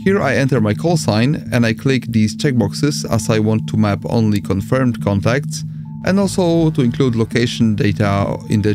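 Male tutorial host, Polish accent, medium pitch, instructional screen voice-over with prominent backing music. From Poland. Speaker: amateur radio tutorial host. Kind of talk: step-by-step screen tutorial narration.

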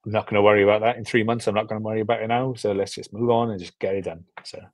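A man's voice: fairly low pitch, fairly even intonation, rapid-fire, a little mellow, no echo, studio quality. A young man talking casually and informally as an interview guest, in an easygoing way. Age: young adult. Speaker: film director, interview guest. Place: indoor room at home, on a video call.

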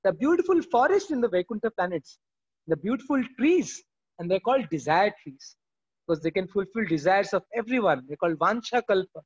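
High-voiced man teaching scripture over a call. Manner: reads verses then explains with animated emphasis. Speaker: class speaker. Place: indoor room at home. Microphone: video call, laptop or phone camera. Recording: dull lo-fi video call audio.

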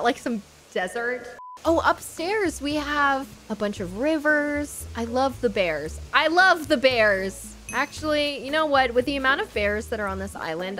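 A young woman giving casual livestream commentary on a game, chatty, gushing and easily delighted, close on a microphone. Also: high-pitched. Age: young adult. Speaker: streamer hosting a game island tour. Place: indoor streaming setup. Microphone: close microphone on boom arm. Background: soft game music.

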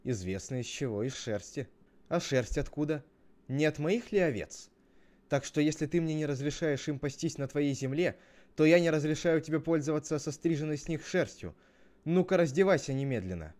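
A man voicing a naive young shepherd brother who protests insistently, in an animated parable. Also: mid-range voice, moderate pace, natural voice. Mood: indignant. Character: Abel, naive shepherd brother turned indignant.

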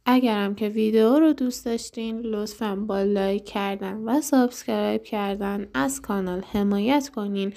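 A woman narrates a walking tour as the host of a vlog. Her voice is dark and soft-edged, with a good deal of creak in it. It sits at an average pitch for a woman. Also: few pauses.

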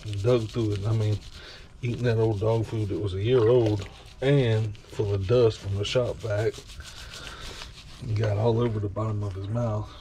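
A man's voice: lowish voice, flat delivery, moderate pace, mellow, rough recording. A man giving casual, rambling commentary as he washes his dog at a kitchen sink, talking now and then to the dog. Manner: rambling, folksy, talks to the dog. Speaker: vlogger washing his dog. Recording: running water noise behind.

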